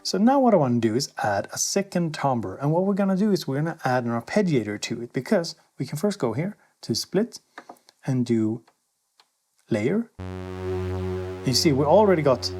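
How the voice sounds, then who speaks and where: A man's voice: fairly high pitch, sing-song, moderate pace, darkish tone. A man presenting a casual hands-on gear demo, thinking aloud in an informal way as he goes. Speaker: synth reviewer and presenter. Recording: synthesizer music playing alongside voice. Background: synthesizer sounds.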